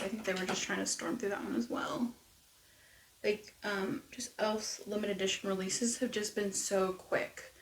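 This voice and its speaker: A woman losing her voice, chatting casually and rambling through a makeup tutorial with soft near-whispered asides. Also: medium pitch, echoey room, a little hiss or hum, low-quality recording. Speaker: beauty vlogger. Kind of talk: casual get-ready-with-me makeup chat. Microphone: close mic at desk.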